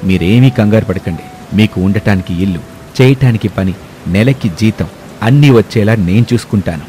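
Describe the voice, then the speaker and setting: A man's voice: lowish voice, normal speed, dark tone, soft background music, natural voice. A man playing a polite, generous wealthy businessman who speaks courteously and reassuringly in a story.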